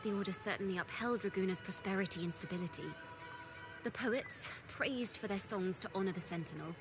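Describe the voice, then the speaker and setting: A woman performs a poised mentor from a fantasy video game. She speaks in a mid-range voice with deliberate, articulate, slightly theatrical phrasing, with music playing behind her. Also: medium pitch, natural voice. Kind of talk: scripted video game dialogue. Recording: game dialogue over music.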